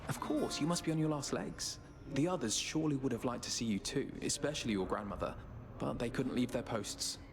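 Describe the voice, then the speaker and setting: A man's voice: medium pitch, fairly quick, few pauses, music in the background, close to an everyday voice. A man playing a charming, affable sun god musician in a fantasy game, easygoing, friendly and lightly theatrical. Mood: sympathetic.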